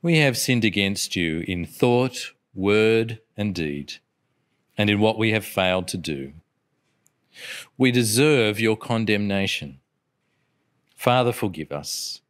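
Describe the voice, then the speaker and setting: A middle-aged man leading liturgical prayer in a solemn, measured manner. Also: lowish voice, expressive. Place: indoor chapel at a lectern. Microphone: lectern microphone.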